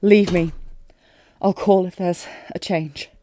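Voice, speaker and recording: A woman playing a lovesick warrior queen, regal yet fragile, in an intimate fantasy audio drama with formal, emotive, halting delivery. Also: fairly low pitch, steady tone, mellow, slight background noise. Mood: commanding. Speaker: audio roleplay performer.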